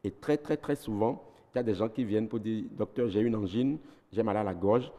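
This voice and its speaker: A man's voice: lowish voice, a little fast, mellow, long reverb tail. Beninese man explaining health advice. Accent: Beninese French. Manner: didactic, lists points methodically. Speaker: ENT doctor guest. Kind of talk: health advice on a TV talk show.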